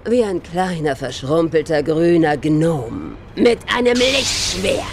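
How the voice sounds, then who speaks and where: A woman's voice: low voice, sing-song, slow pace, noisy background, heavily acted. A woman playing a cold, scornful villain commander, smug and commanding, in an audio drama.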